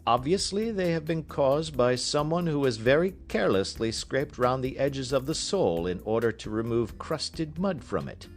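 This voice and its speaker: A man voicing a brisk, self-assured gentleman detective in an audiobook, precise, emphatic and teasing. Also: mid-range voice, lively intonation, faint music behind, voice pushed up, natural voice. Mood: brisk, confident. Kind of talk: audiobook character reading.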